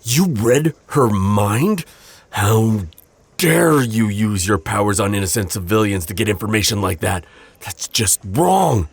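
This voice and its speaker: Male actor as protective guy friend, deep husky voice, earnest, hesitant, stammering, naturalistic audio drama. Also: big pitch swings, unhurried, exaggerated voice. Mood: indignant.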